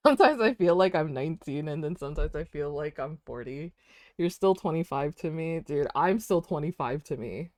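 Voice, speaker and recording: A woman's voice: deep voice, expressive, moderate pace, darkish tone, dry recording. Chatty laughing woman in her twenties. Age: 20s. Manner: chatty, rambling, laughs often, says "dude" and "like". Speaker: streamer drawing fanart. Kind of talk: casual chat while drawing on stream.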